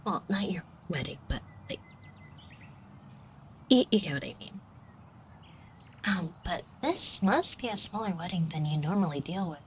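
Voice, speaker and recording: A woman's voice: on the low side, lively intonation, a little slow, long pauses. Woman acting as a shy florist, dull noisy recording. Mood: casual, bashful. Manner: hesitant, stammering, self-deprecating, colloquial. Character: shy, flustered, fond young woman florist. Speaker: audio roleplay performer. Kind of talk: scripted roleplay acting addressed to the listener. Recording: dull muffled audio with clear noise. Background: shop ambience sound effects.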